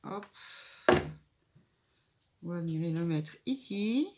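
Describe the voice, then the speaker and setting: A woman's voice: lowish voice, lively intonation, slow pace, heavy background noise. A woman with a cold and a nasal voice, chatting casually as she makes a table decoration and thinks aloud. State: stuffy nose from a cold. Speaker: craft vlogger. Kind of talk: casual crafting tutorial.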